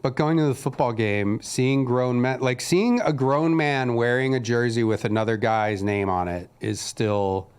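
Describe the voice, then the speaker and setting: A man's voice: medium pitch, measured pace, hardly any pauses, smooth. A man co-hosting a casual podcast, ranting in a crude, sarcastic way. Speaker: podcast co-host.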